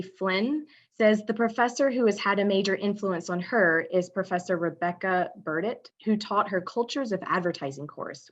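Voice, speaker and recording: A woman presenting a ceremony, steadily reading student introductions over a video call. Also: mid-range voice. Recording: dull video call audio.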